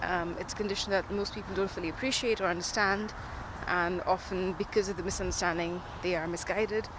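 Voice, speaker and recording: Earnest speaker over loud traffic noise. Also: mid-range voice. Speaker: diabetes researcher and podcaster. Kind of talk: informal spoken monologue, explaining.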